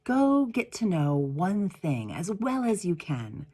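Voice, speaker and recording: Woman voicing wise old man, patient, slow, measured, gently instructive, storybook character. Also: lowish voice, very animated, full character voice. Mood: softly instructive.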